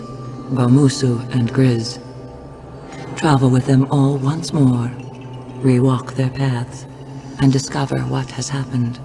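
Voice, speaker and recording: A man's voice: medium pitch, close to an everyday voice. An actor playing a grave, commanding oracle, a man speaking in formal, portentous scripted narration. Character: grave oracle of three kingdoms, commanding male seer. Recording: music behind the voice.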